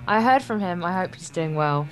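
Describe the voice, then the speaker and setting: A woman's voice: lowish voice, lively intonation, normal speed, music in the background, poor audio. A young woman co-hosting a let's play, reading visual novel text aloud with playful, casual banter.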